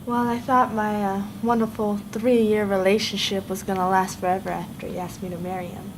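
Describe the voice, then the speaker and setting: Actress as a frank woman in her twenties, flat delivery, noisy sound. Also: medium pitch, normal speed, close to an everyday voice. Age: 20s. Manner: frank, even-toned. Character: tough 25-year-old woman with a hard past. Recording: noisy indie film audio.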